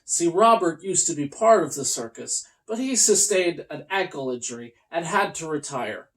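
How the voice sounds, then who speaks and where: A host reading a comic children's storybook aloud in a theatrical, mock-earnest way with deliberate pauses. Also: mid-range voice, lively intonation.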